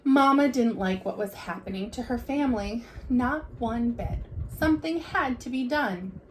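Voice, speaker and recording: A woman reading a children's picture book aloud like a teacher, with expressive, deliberate delivery. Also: fairly high pitch, slight background noise, poor audio.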